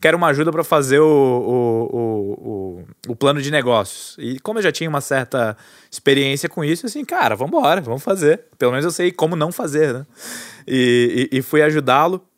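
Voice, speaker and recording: A man from Brazil in his thirties, speaking as a podcast guest. He sounds relaxed and conversational, often restarting his sentences. His voice is recorded close up in a dry, quiet room and sits at a middle pitch. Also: brisk, no echo. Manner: relaxed and chatty, with frequent fillers and self-correcting restarts. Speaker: guest, entrepreneur. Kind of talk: casual storytelling interview.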